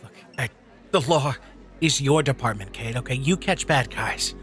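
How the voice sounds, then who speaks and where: A man's voice: medium pitch, expressive, moderate pace, fairly bright tone, soft background music, close to an everyday voice. Man acting an earnest, principled social worker. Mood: pleading, defensive. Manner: earnest, pleading. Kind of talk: audio drama acting.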